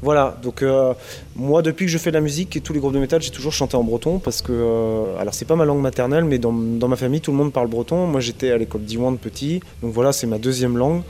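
Man, thirties, from Brittany, casual interview talk, lots of fillers and pauses. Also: mid-range voice, mellow, a little hiss or hum. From Brittany, France. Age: 30s. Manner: hesitant, many fillers and restarts. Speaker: interviewed musician.

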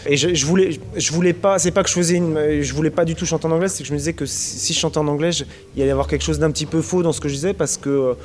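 Man, thirties, from Brittany, casual interview talk, lots of fillers and pauses. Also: on the high side, fairly even intonation, darkish tone. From Brittany, France. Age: 30s. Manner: hesitant, many fillers and restarts. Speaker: interviewed musician.

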